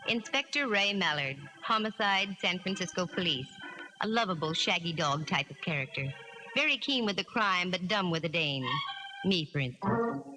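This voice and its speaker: A woman's voice: lowish voice, animated, moderate pace, bright, forceful tone. Hard-boiled woman detective, American, wry wisecracks, 1940s radio drama, long reverb, music behind. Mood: brisk, wry. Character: wisecracking, tough female private eye narrating her case.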